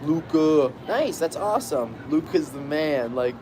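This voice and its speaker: A young man talks casually from an outdoor balcony, rambling and restarting his sentences. His voice sits a bit high for a man. Traffic noise from the street comes through clearly behind him. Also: lively intonation, a little slow, warm tone. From Boston area, Massachusetts. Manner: rambling, chatty, many fillers and restarts. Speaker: streamer reading chat. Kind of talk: casual live chat.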